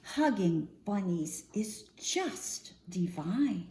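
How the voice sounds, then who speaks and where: A woman reading a picture book aloud for young children in an expressive, singsong storytime manner, often posing questions to her listeners. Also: medium pitch, extremely slow. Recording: roomy echo, faint background noise.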